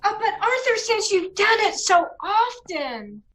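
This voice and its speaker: A woman's voice: very high-pitched, very animated, unhurried, fairly bright tone, full character voice. An American woman playing a naive, frightened young newlywed in an old-time radio comedy, with girlish pleading. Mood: naive, eager.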